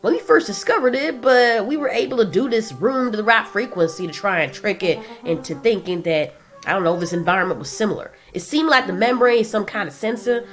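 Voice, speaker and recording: A woman's voice: medium pitch, expressive, fairly quick, faint music behind, pitched above the performer's own voice, lightly acted. A woman voicing a stressed, anxious male digger creature who explains nervously in a comic audio drama. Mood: anxious, baffled.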